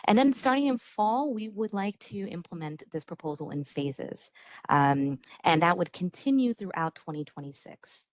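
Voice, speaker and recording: Woman presenter, polite and professional, low voice, dry sound. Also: expressive, moderate pace, dry recording, poor audio. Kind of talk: presentation wrap-up.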